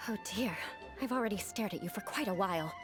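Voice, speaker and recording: A woman's voice: mid-range voice, animated, quick pace, over music, natural voice. A woman playing a proud young aristocratic knight, speaking in a formal, stately and dryly self-mocking way in video game dialogue. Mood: haughty.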